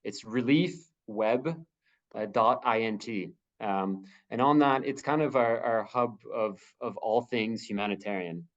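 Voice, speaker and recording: A man speaking as a humanitarian spokesperson on a video call, answering questions in a halting way, with many fillers and restarts. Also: fairly low pitch, dull audio.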